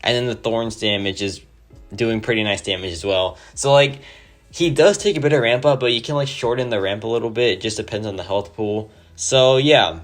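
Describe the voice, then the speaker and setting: A man with a hoarse, sore-throated voice giving casual, enthusiastic gameplay commentary over a home mic. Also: on the low side, normal speed, soft background music. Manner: enthusiastic, rambling, many "like" fillers. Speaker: mobile game player.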